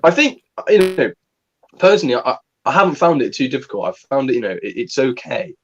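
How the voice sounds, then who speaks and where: A young man co-hosting a casual livestream, talking in a calm, measured way and reading a listener's letter slowly. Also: medium pitch, highs cut off. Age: young adult. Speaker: co-host.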